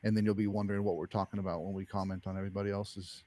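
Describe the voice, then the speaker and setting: A man's voice: fairly low pitch, flat delivery, racing, dark tone. A man in his forties hosting a casual watch-along stream, easygoing and guiding the guests along. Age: 40s. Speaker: host. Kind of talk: casual chat.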